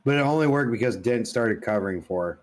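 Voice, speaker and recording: A man's voice: medium pitch, expressive, fast. A man co-hosting a podcast, chatting informally with plenty of slang and some swearing. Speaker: podcast co-host. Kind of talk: casual chat about comics, movies and games.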